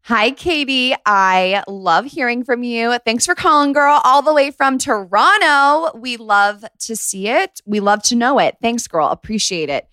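A woman's voice: on the high side, measured pace, hardly any pauses, pushed and bright, clean tone, no room sound. Young woman, podcast host, casual advice, chatty, emphatic, slangy, dry sound. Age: 20s. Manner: chatty, emphatic girl-talk with slangy asides. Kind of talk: casual advice talk to listeners.

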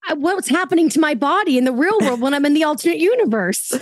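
A woman with a fairly high voice and a dry, close sound. She chats as a podcast guest among friends, informal, playful and quick to joke. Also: animated, no room sound. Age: 30s or older. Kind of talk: casual banter between friends.

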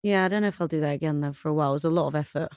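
A woman's voice: low voice, very fast, a little mellow, dry recording. Chatty woman guest, dry close sound. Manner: chatty, rambling, full of "like". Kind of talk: casual chat.

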